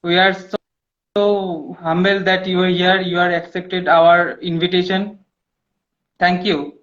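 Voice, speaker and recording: High-voiced host, slow broken English. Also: male voice, little pitch movement, a bit bright, muffled recording. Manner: broken English, repeats words, laughs often. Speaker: podcast host. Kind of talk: casual interview chat.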